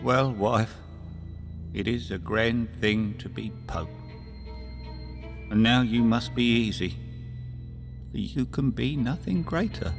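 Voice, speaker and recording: A man's voice: medium pitch, slow, pauses often. An actor playing a timid, henpecked fisherman in a fairy tale audio drama, plaintive and deferential in old-fashioned speech. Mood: resigned. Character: timid, henpecked fisherman husband, pleading and fearful. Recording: loud music and storm sounds behind.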